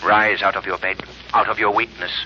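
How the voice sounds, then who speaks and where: Casting: commanding, stern invisible crime-fighter, razor-sharp and emphatic, on a scratchy vintage radio drama recording. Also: male voice, lowish voice, flat delivery, brisk, highs cut off. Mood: stern, accusing. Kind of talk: 1930s radio drama acting.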